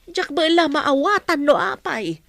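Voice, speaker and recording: A woman's voice: high voice, expressive, unhurried, creaky, fairly bright tone, no echo, full character voice. A woman playing a cheeky, gossipy household helper in a radio drama, chatty and exclaiming. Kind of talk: comic Ilocano radio drama acting.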